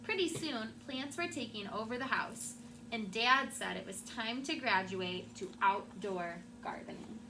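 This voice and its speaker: Through clear background noise, a woman with a fairly high voice reads a picture book aloud to schoolchildren. She is a teacher who tells the story expressively and breaks off for short asides to her class. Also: animated, measured pace. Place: classroom with children. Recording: clearly noisy classroom recording.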